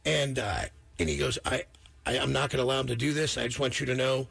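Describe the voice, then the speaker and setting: Creaky-voiced man in casual radio chat. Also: mid-range voice, fast, a bit bright, no echo. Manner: informal, slangy, emphatic and repetitive. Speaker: radio morning show host.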